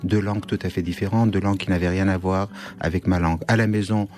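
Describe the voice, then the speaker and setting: Guy from syria, a bit low, lots of euh and restarts, radio interview. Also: fairly even intonation, fast talker, darkish tone. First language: Kurdish. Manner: hesitant, many restarts and fillers. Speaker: guest writer.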